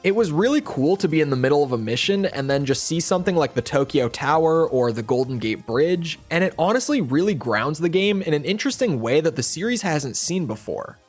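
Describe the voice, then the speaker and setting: A man reading a scripted video essay voiceover as a game reviewer, slightly hoarse, explaining in a steady, upbeat way. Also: on the high side, fairly quick, hardly any pauses, soft background music. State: slightly hoarse, near losing his voice.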